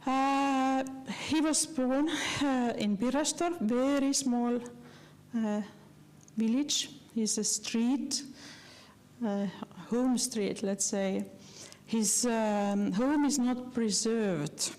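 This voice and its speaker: A woman giving a slide lecture in English, which is not her first language, talking earnestly and haltingly with many fillers and restarts. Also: a little high, darkish tone. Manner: earnest, personal.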